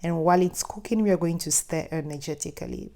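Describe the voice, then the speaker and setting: A woman's voice: lowish voice, fast, a little mellow. A young woman with a Ghanaian accent, hosting a cooking tutorial in a friendly, instructive voice-over with chatty asides. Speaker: cooking channel host.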